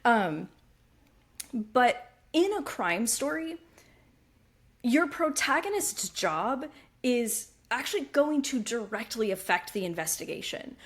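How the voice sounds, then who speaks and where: A woman's voice: fairly high pitch, sing-song, takes it slowly. A woman podcast co-host with a slightly froggy voice, explaining writing craft conversationally and checking in as she goes.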